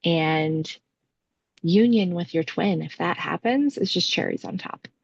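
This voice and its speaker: A woman co-hosting a podcast, explaining things casually with emphatic, deliberate lists. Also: lowish voice, gravelly, highs cut off. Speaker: podcast co-host.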